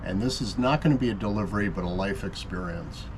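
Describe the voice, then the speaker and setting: A man's voice: lowish voice, fast, background noise. A man who owns a sailboat, casually telling the camera how he came to sail with strangers. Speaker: boat owner and vlog host.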